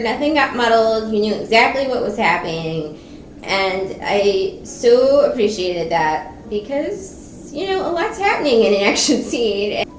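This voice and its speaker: A woman's voice: on the high side, a little hiss or hum. A woman hosting an enthusiastic book review vlog, speaking in an emphatic, gushing manner. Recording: roomy echo, distant mic, music behind.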